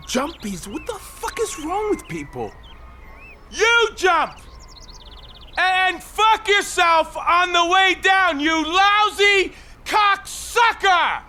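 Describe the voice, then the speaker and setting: Desperate man on a ledge, slow rambling, voice swings all over, audio drama. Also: very high-pitched, very animated, faint music behind, exaggerated voice. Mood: furious. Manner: rambling, wistful, bursting into shouts. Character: agitated, rambling man perched on a high ledge, despairing. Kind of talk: audio drama monologue and dialogue. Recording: faint city traffic noise behind.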